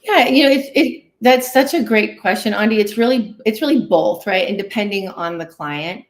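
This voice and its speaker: A woman's voice: medium pitch, normal speed. Woman explaining conversationally in an echoey room. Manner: animated, acts out inner dialogue, often tags sentences with "right?". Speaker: guest therapist, licensed clinical social worker. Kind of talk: conversational explaining in a livestream interview. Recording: roomy, echoey sound.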